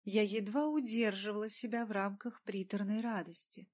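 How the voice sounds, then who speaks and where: A woman reading first-person fiction as an audiobook narrator, with expressive storytelling. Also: medium pitch, moderate pace.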